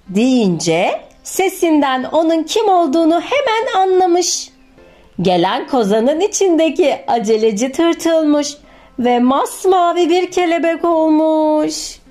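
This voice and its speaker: A woman narrating a children's picture book in an expressive scripted storytelling style. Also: sits high. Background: music.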